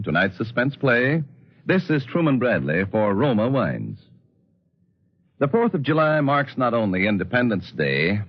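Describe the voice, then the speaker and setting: An American man announcing scripted sponsor spots in a polished, emphatic 1940s radio style. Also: mid-range voice. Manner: polished, emphatic sales delivery. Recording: dull, slightly husky 1940s radio recording.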